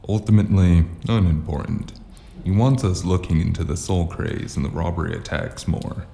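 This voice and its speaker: Hardboiled cyberpunk detective, rock-bottom pitch, dark tone, deadpan, sardonic, noir audio drama. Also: male voice, normal speed, a little hiss or hum. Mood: dry, guarded. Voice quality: gravelly. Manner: dry, deadpan, clipped. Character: weary, sardonic male noir investigator in a cyberpunk city. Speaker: lead actor and narrator.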